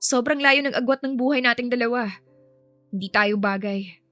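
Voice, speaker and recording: A woman's voice: a little high, moderate pace, bright, forceful tone, no echo, soft background music. Woman acting a proud, earnest young nanny. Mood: bitter, resigned. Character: proud young housemaid nanny, polite, hurt but tender.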